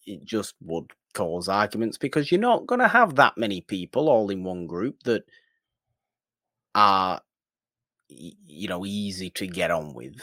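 A man's voice: low voice, sing-song, unhurried, a bit bright, no echo, studio quality. A man co-hosting a podcast, casually discussing films in an emphatic way, often repeating words and phrases for stress. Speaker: podcast co-host.

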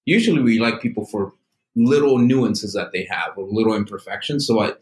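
Man, Mexican accent, frank and casual, mid-pitched voice, echoey room. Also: a little fast. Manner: frank, casual, swears, playful laughs. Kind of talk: casual reflective chat.

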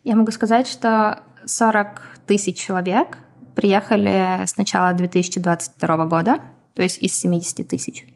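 A woman from Ukraine, a podcast guest, answering questions in a measured, explanatory way with frequent pauses and fillers. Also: medium pitch, level tone, gravelly, a little mellow. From Donetsk, Ukraine. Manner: measured, explanatory, many hesitations. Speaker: guest, community founder.

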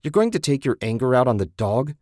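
A furious wife yelling back at her husband in an audiobook story, as a man reads her in a lifted voice. She is loud and defensive. The sound is very dry and close. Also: lowish voice, animated, moderate pace, no echo. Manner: shouting, defensive, raised voice. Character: angry, exasperated wife in a marital fight.

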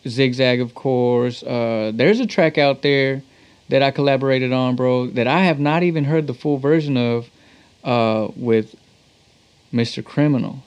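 A man's voice: medium pitch, a little mellow, dull audio. A man from Texas with an American accent chatting casually as an interview guest, telling stories in a slangy, laid-back way with some swearing. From Texas, United States. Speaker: musician and producer, interview guest.

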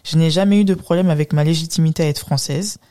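A woman's voice: sits low, fairly even intonation, fast, dark tone. A woman hosting a conversational interview, speaking in a thoughtful, informal and wordy manner. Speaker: podcast host, interviewer.

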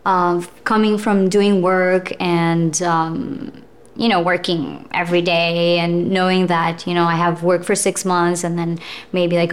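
Brief: young woman actress, reflective guest, unhurried and full of fillers. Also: fairly low pitch. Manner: thoughtful, with frequent fillers and laughs. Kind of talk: casual interview chat.

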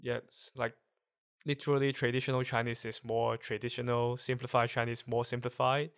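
Smooth-voiced man with a Hong Kong accent. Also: mid-range voice, little pitch movement, a bit bright. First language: Cantonese. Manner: halting, searching for words while explaining. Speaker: guest. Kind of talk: casual conversation.

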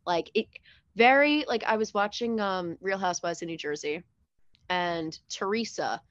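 A young woman as a podcast guest in casual chat, animated and profane, full of quick jokes and fillers. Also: mid-range voice, dry recording, lo-fi sound.

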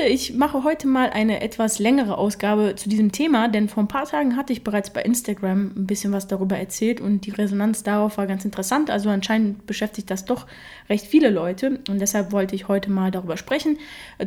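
A woman's voice: mid-range voice, darkish tone. A young woman hosting her own podcast, who grew up in Germany with Russian as her first language, talking casually with many fillers. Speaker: podcast host. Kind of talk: casual solo podcast talk.

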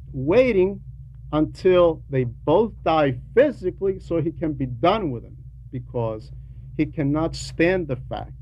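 A man with a slightly high voice preaches an Orthodox homily at a slow pace. He explains deliberately and leans on repetition for emphasis. There is faint noise behind him. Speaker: preacher reading a translated homily. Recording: dull lo-fi sound with background noise.

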